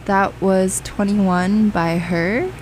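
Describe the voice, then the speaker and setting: A young woman in her twenties chats on air as a radio co-host, upbeat and giggly. She talks at an unhurried pace. A faint hum of ambience sits behind her. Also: medium pitch, steady tone, darkish tone, poor audio. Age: 20s. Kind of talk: casual radio chat.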